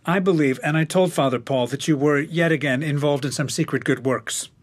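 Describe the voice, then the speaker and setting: Actor playing a husky, mild middle-aged husband. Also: male voice, fairly high pitch, brisk, lightly acted. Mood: mild, chatty. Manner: plain, conversational, gently questioning. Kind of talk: naturalistic radio drama acting.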